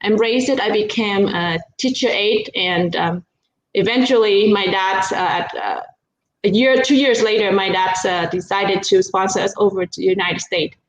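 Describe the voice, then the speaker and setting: A woman's voice: medium pitch, moderate pace. A woman from Vietnam with a Vietnamese accent, telling her life story in an earnest, modest way as an interview guest. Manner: modest, earnest, with small restarts. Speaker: guest, business partner. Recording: roomy with faint background noise.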